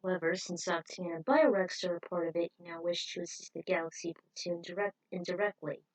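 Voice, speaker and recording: A woman's voice: fairly low pitch, fairly quick, dry recording, poor audio. A woman reading a fan fiction story aloud in an informal way, breaking off for her own opinionated asides.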